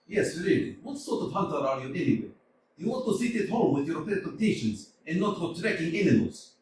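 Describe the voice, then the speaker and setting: Man playing a bombastic old father, shouting, high lively voice, echoey hall from far off. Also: fairly quick, a little hiss or hum. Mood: scolding. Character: blustering old landowner father, hot-tempered. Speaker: amateur actor in a staged reading. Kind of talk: community theater staged reading.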